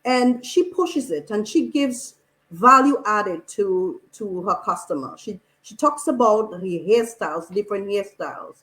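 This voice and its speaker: A woman guest on a radio panel who runs an online shop, speaking with enthusiasm and many halting restarts. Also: medium pitch, lively intonation, fairly bright tone, rough recording.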